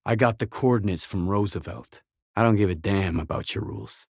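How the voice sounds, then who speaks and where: An actor playing a gruff, guarded, hard-bitten mercenary in a video game, speaking in terse, blunt, businesslike lines. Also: male voice, lowish voice, a little fast, a little mellow, no echo, clean studio sound. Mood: hard, threatening.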